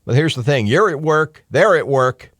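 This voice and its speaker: A man's voice: on the high side, animated, moderate pace, a bit bright, dry recording, clean studio sound. A middle-aged American man hosting talk radio, giving a casual, opinionated monologue in a folksy, conversational way with emphatic asides. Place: radio studio. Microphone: close studio microphone.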